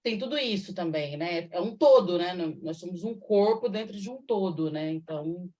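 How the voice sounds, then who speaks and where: A woman's voice: lowish voice, lively intonation, normal speed, warm tone. A woman interviewer asking long, affectionate questions with frequent tag questions.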